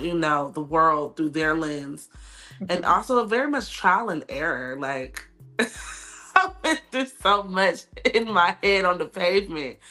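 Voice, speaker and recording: A young adult woman, a musician, chatting openly in a casual podcast interview and laughing often. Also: fairly low pitch. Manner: laughs often, warm and open. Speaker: guest musician.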